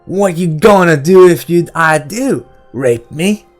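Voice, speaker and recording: A man voicing a mean, defiant woman in an audiobook, with a hoarse edge and heavy dialect. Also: animated, takes it slowly, soft background music. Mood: mocking. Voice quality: hoarse, rasping. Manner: shrill, heavy dialect, mocking.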